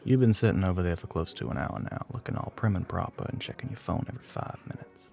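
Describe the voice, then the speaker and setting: A man playing a flirty, middle-aged bartender with a Southern US accent and a low, gravelly voice, teasing in a folksy way in an ASMR roleplay. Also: expressive, quick pace, darkish tone, soft background music. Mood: wry sympathy. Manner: drawling folksy terms of endearment, teasing.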